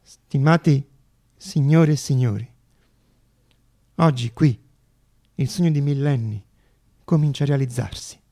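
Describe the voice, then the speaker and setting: An actor playing a stirred, solemn congress orator, declaiming phrase by phrase in a radio drama. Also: male voice, mid-range voice, unhurried, creaky, long pauses, mellow, no room sound, clean studio sound. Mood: solemn, proud. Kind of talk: theatrical public speech in radio drama.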